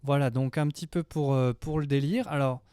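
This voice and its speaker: A man's voice: mid-range voice, steady tone, normal speed, warm tone, smooth, no echo. A man, chatting informally and hesitantly as he hosts a home-studio tutorial on a close mic. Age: 30s. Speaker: music production tutorial host. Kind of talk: casual tutorial chat. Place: small home studio room, indoors. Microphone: close studio mic on boom arm.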